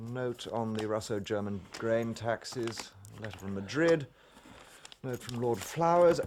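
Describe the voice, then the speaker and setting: An actor playing a flustered, pompous Victorian government minister in a period drama, spluttering and formal. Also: male voice, medium pitch, a little mellow, slight background noise.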